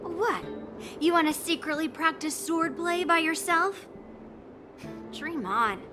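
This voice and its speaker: A woman's voice: extremely high pitch, slow, music in the background. A woman playing a blunt, proud young swordswoman in a video game. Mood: challenging.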